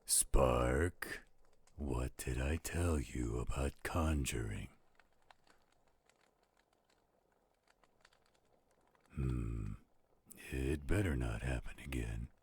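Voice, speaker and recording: A man playing a gruff but gentle, fatherly werewolf in an intimate audio roleplay, with a deep, gravelly, husky voice and an unhurried delivery. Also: no echo, full character voice. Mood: mildly stern.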